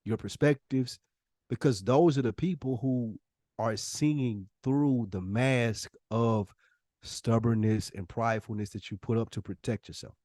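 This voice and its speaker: A man's voice: mid-range voice, unhurried, dark tone, dry recording. An American man co-hosting a podcast, giving conversational advice in an emphatic way and repeating phrases for weight. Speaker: podcast co-host.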